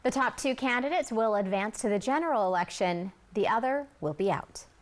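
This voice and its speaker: A woman anchoring the news, reading scripted stories with crisp, polished delivery. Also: a little high, expressive, fairly quick.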